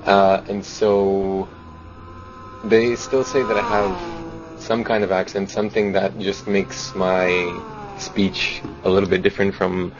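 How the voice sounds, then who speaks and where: A man from Romania chatting casually on a race stream, speaking haltingly with many fillers. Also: sits low, flat delivery. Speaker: co-commentator. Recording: muffled audio with clear noise.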